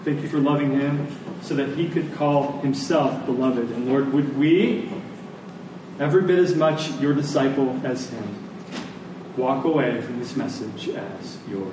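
A man's voice: medium pitch, measured pace. A man preaching a sermon in an earnest, emphatic manner, repeating phrases for weight. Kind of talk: sermon, closing in prayer. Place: large echoing room, church service. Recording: echoey large room, distant, faint noise.

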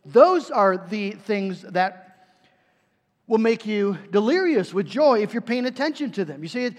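A man's voice: sits high, lively intonation, measured pace. A man preaching a sermon in a conversational way, with frequent fillers and restarts. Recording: reverberant hall.

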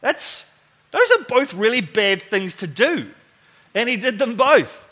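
A man's voice: very high voice, very animated, takes it slowly, bright, forceful tone. A man preaching informally to a church congregation in an enthusiastic, joking, conversational way, often putting questions to the audience. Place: speaking live to a gathering at church.

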